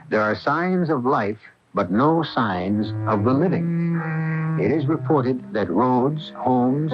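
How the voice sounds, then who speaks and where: An actor playing an intrigued mystery narrator, dramatic and deliberate, introducing a radio drama. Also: male voice, mid-range voice. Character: brooding, intrigued mystery narrator reading a news clipping. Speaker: narrator and host. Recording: dull lo-fi 1980 radio broadcast.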